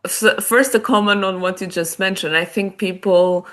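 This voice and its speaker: A woman's voice: mid-range voice, fairly even intonation, normal speed, fairly bright tone. A woman with a Portuguese accent, a non-native English speaker, explaining her ideas in a chatty, casual interview. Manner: chatty, explanatory, many restarts and small laughs. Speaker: guest author and business development head.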